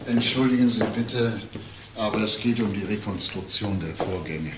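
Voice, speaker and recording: An actor playing a quiet, probing police inspector in a crime drama, speaking in a terse, measured way. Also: male voice, lowish voice, steady tone, distant mic, background noise, close to an everyday voice. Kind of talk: German crime audio drama acting.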